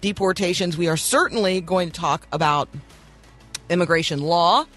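A man's voice: high-pitched, moderate pace, fairly bright tone, faint music behind. A man hosting a morning radio show, speaking in an earnest, encouraging devotional tone with a slightly froggy voice and frequent ums and restarts.

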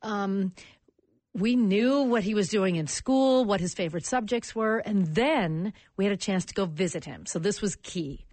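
An American woman, a radio guest, telling a heartfelt story in an animated, emotional way. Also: mid-range voice, moderate pace, no room sound. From United States. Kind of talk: interview storytelling.

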